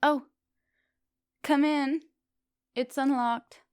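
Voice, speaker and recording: A woman playing a coaxing, soft-dominant vampire professor in an intimate ASMR roleplay, speaking with polite, formal phrasing. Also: high voice, steady tone, drawn-out words, no echo, clean studio sound. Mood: polite, explaining. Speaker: teacher.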